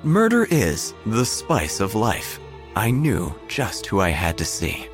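A man playing a vain, droll small-town obituary writer, narrating an audio drama in a pompous deadpan over music. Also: fairly low pitch, takes it slowly, full character voice. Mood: droll, grandiose.

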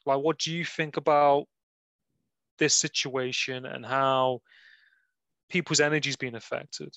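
A man's voice: medium pitch, fairly even intonation, a little slow, a bit bright, no echo. A man from the English Midlands with an English accent tinged with Liverpool, hosting a podcast in a casual, rambling, hesitant way. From Nottingham, English Midlands. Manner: rambling, hesitant phrasing with repeated filler phrases. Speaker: podcast host. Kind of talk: casual conversational interviewing. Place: online video call.

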